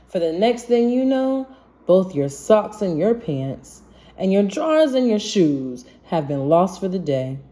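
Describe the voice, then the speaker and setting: Slow, animated woman reading a storybook. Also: medium pitch. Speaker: children's book reader. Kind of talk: storybook read-aloud, rhyming narration.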